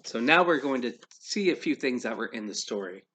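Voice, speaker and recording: A man reading a storybook aloud to children in an expressive, teacherly way, putting questions to his listeners. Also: medium pitch, slight background noise. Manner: pauses to quiz young listeners.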